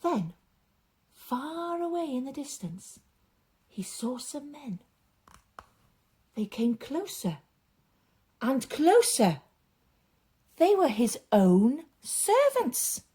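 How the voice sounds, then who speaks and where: A woman reading and explaining a story for children, with gentle dramatic phrasing that often drops into a hush. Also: sits high, very animated. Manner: dramatic, deliberate phrasing, often breathy and hushed.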